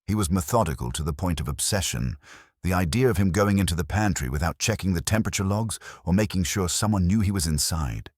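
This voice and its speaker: A guarded corporate executive in his forties, as a male narrator plays him, speaks in a very deep voice with lively swings. He is polished and careful, managerial in manner, as in a detective audiobook. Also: brisk, no echo, studio quality. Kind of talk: audiobook detective mystery dialogue.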